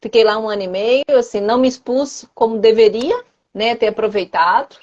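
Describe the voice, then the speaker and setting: A woman's voice: a little high, a little fast, darkish tone, muffled recording. A woman in her thirties with a Brazilian Portuguese accent, chatting as an interview guest, often repeating and restarting phrases and adding tag questions. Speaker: interview guest, former student. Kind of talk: casual conversational interview.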